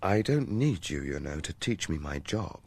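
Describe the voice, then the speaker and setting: Radio drama local cop: low voice, animated intonation, world-weary, prickly, sceptical. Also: male voice, normal speed, vocal fry, breathy edge, no room sound, exaggerated voice. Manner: sceptical, matter-of-fact.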